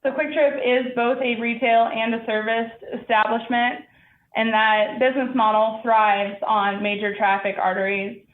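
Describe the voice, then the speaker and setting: Businesslike female company representative, assured public-hearing delivery, heavy room echo and narrow, tinny band. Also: on the high side. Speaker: applicant representative for a store chain. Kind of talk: presentation and answers at a public hearing. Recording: echoey room, thin band-limited sound.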